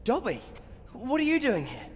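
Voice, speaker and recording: Teenage boy wizard, British accent, urgent, brave, video game, expressive pitch, noisy ambience. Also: moderate pace, full character voice.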